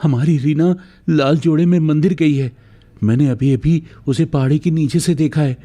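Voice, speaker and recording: A man's voice: a little high, fairly even intonation, moderate pace, warm tone, pitched above the performer's own voice, lightly acted. A man voicing a panicked village man in a cartoon story, speaking urgently and frantically. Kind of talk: melodramatic cartoon story acting.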